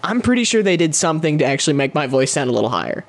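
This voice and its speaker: A young man co-hosts a podcast in casual, playful chat, laughing often. His voice is fairly high for a man and swings widely in pitch. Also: big pitch swings, racing. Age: young adult. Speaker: podcast co-host.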